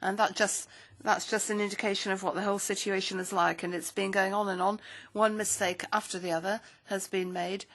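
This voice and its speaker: A woman interpreting a speech into English at a parliamentary hearing, with a steady, measured delivery. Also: medium pitch.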